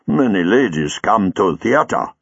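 A man voicing a suave, calm male stage hypnotist who speaks in a smooth, soothing way. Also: on the low side, slow pace, no room sound, highs cut off, heavily acted.

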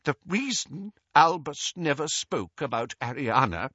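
Audiobook role: elderly wizard done by a male reader, wheezy, raised pitch, solemn, easily offended, wavering up and down. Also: huge pitch swings, slow, a bit bright, slightly rough, dry recording, muffled recording, full character voice. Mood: stiff with emotion. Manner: stiff, solemn, wounded dignity. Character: loyal, stiff, indignant elderly wizard gentleman.

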